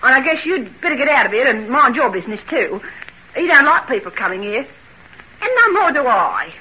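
An actress playing a guarded, bitter young working-class housekeeper with a brusque, slangy in old radio drama style. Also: female voice, medium pitch, moderate pace, pushed and bright, slightly rough. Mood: defensive, angry. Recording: muffled noisy old radio recording.

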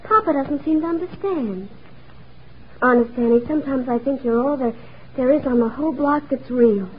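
A woman's voice: high-pitched, normal speed, husky. An actress playing a tired, kind eighteen-year-old girl, earnest and tender, in an old radio drama. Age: young adult. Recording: tinny lo-fi radio recording.

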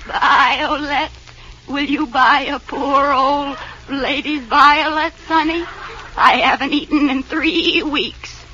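Actress putting on a bleating, pitiful old flower seller. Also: female voice, sits high, steady tone, pushed and bright, exaggerated voice. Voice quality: bleating, goat-like. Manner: pleading, drawn-out. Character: pitiful poor old woman selling violets. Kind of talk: old-time radio comedy acting. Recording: dull, very noisy old radio recording.

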